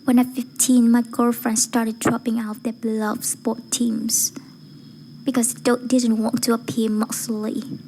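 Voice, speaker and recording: A woman with a breathy, whispery voice reciting a formal speech in a measured, deliberate way, phrase by phrase. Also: on the high side, level tone, mellow, a little hiss or hum, low-quality recording. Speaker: amateur voice-acting student reciting a speech.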